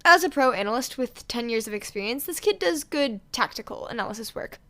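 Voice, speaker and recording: Higher-pitched woman reading a story. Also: lively intonation, brisk, fairly bright tone. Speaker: narrator, amateur audiobook reader. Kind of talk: expressive fan fiction story reading.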